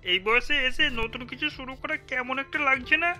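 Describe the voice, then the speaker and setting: A performer voicing a worried, hesitant husband in a cartoon, asking doubtful questions. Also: male voice, very high voice, moderate pace, pushed and bright, soft background music, full character voice.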